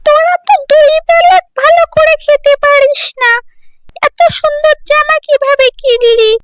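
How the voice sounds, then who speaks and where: A snooty, prying little village girl for a cartoon fairy tale. The voice is extremely high and shrill, with a needling manner and a dry, close sound. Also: steady tone, unhurried, no room sound, faint music behind. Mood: taunting, suspicious. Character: nosy, haughty, suspicious young village girl.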